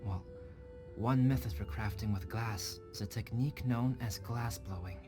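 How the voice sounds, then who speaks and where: A low, composed young man, as a male actor plays him, speaking like a thoughtful alchemist in a fantasy game. He explains things in measured, articulate phrases, with prominent music playing behind him. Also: close to an everyday voice. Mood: calm, explanatory. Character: calm, scholarly young male alchemist, artificially created human. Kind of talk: scripted English video game voice acting.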